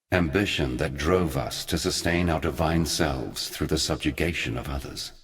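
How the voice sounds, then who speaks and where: An actor playing a solemn, scheming ancient god in a video game, speaking in measured, grandiloquent monologue. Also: male voice, rumbling low. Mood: grave, instructive.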